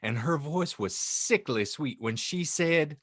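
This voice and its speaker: Animated man narrating, slow and dry-miked. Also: fairly high pitch, no room sound. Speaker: podcast host and audiobook reader. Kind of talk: comic dramatic narration and banter.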